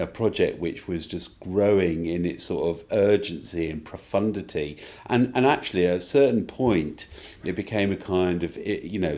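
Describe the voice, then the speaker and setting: A man speaking as a writer in an interview, thoughtful and halting as he searches for words. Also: deep voice, darkish tone. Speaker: writer interviewed about an audio drama. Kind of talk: casual interview talk.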